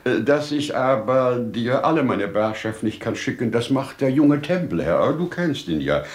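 An older actor playing a wise, humble old merchant in a 1950s German radio drama, with measured, declamatory verse delivery. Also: male voice, mid-range voice, sing-song, close to an everyday voice. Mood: deferential, hesitant.

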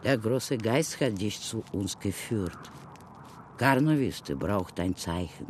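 A man's voice: on the low side, lively intonation, slow, slight background noise. An actor playing a protective Apache mentor who speaks to a small boy in short, soothing, solemn phrases in a radio drama. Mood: reassuring, solemn.

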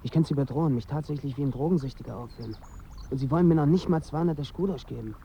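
An actor in a German radio drama playing a pleading drug addict in withdrawal, begging pushily but politely. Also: male voice, on the high side, racing, mellow, muffled recording, slight background noise, close to an everyday voice. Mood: agitated.

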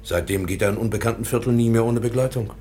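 A protective gentleman professor, played by a man with a medium-pitched voice. His delivery is formal and expressive, troubled and quick to exclaim, in classic radio drama style. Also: a little hiss or hum, natural voice. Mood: indignant. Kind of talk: German radio drama acting.

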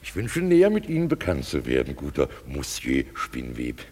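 An actor playing a coarse, jovial weaver with a donkey's head, speaking with mock courtesy in a classic German radio play. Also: male voice, wildly swooping pitch, moderate pace, a little mellow, exaggerated voice. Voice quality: gravelly deep voice, chuckling.

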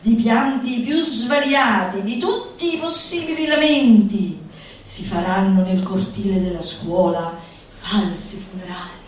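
A woman's voice: mid-range voice, unhurried, slight background noise. A woman performing a live theatrical reading in a declamatory way, slipping into teasing, mock-schoolteacher banter with her audience. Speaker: stage performer reading a literary text. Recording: echoey hall, distant mic, audience present.

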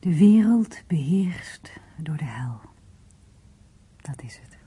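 A shy, caring amateur painter in a naturalistic radio play. Her lowish, mellow voice is very breathy, often half-whispered, with a soft, questioning, self-effacing manner. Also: very animated, slow pace, heavily acted. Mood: soft, pensive. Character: hesitant, caring woman, a modest amateur painter. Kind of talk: naturalistic radio drama acting.

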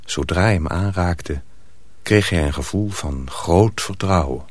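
A man's voice: deep voice, a little slow, dry recording. A man narrating a radio drama as a scripted storyteller.

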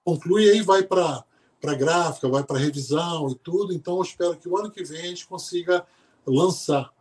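A chatty Brazilian man with a fairly high voice speaks as a podcast guest. He sounds relaxed and talkative and keeps restarting his sentences. His tone stays conversational throughout. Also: normal speed. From Brazil, born in Salvador, raised in Rio de Janeiro. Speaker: sports psychologist guest. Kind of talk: casual podcast interview.

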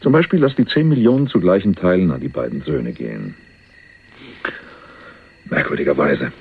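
An actor playing a shrewd, sardonic small-time jailbird in a German radio crime drama, teasing and probing. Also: male voice, extremely low pitch, moderate pace, gravelly, husky, full character voice. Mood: sly, insinuating. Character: shrewd, needling small-time male jailbird, broke and worldly-wise. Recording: dull lo-fi radio recording, faint noise.

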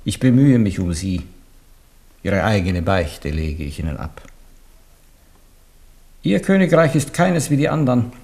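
An actor playing a shrewd, stern old papal legate in a radio play, speaking in a measured, solemn way. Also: male voice, fairly low pitch, very animated, vocal fry, a little mellow, full character voice. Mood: grave. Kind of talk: 1970s radio play acting.

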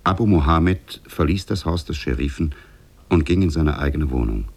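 A man as a solemn storyteller narrating a 1950s radio drama, gravelly and husky. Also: very deep voice, moderate pace, a little mellow, full character voice. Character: solemn storyteller of Arabian Nights tales.

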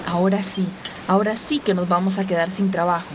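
A woman's voice: fairly low pitch, steady tone, a little fast, noisy background, lightly acted. A woman playing a gossipy, eager young factory worker in a radio soap opera. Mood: gossipy, alarmed. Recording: chattering crowd behind.

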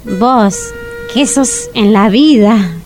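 An actress playing an eager, teasing Argentine schoolteacher in a radio comedy, expressive and full of questions. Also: female voice, a little high, extremely slow, over music, full character voice. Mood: bossy, curious. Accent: Argentine, Rioplatense. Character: eager schoolteacher woman, orderly, teasing, inquisitive. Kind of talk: radio comedy drama acting.

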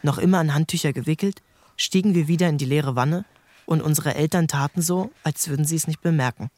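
A man narrating a literary novel in a measured, storytelling manner. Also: a little high, darkish tone, dry recording. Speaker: audiobook narrator.

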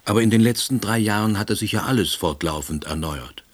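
A man acting as a middle-aged ex-official narrating an audio drama. His voice is very low and husky, with a dry, deadpan delivery and a wry, wordy manner. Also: brisk, lightly acted. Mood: dry, reflective. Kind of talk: scripted first-person narration.

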